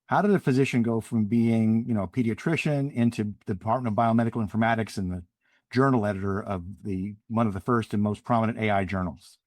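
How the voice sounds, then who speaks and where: A man's voice: lowish voice, fast, darkish tone, no echo. A man hosting a podcast, introducing a guest in a friendly manner and then asking questions. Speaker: podcast host.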